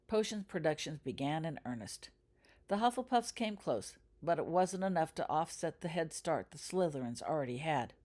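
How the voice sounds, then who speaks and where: A woman's voice: sits low, darkish tone. A woman narrating a story as an author reading their own fiction, in a scripted audiobook style.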